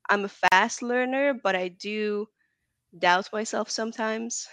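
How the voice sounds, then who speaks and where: A woman's voice: mid-range voice, unhurried, a bit bright, clear, resonant tone, no echo. A woman from Hungary, chatting casually as an interview guest, full of likes and ums. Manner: chatty, frequent "like" and "um".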